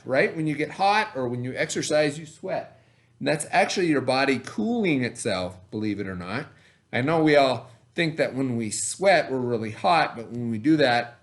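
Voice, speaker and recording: A man teaching young children in an instructive way, explaining words step by step and checking in as he goes. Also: medium pitch. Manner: instructive, spells and sounds out words, checks with "right?". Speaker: elementary school teacher. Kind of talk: read-aloud lesson for young children, explaining vocabulary.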